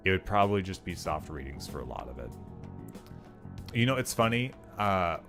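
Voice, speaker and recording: A young man with a deep, low voice talks casually on a stream, wandering through his thoughts and peppering every sentence with filler words. Faint music plays underneath him. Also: very animated, moderate pace. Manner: rambling, heavy on "like" fillers. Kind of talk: casual livestream chat.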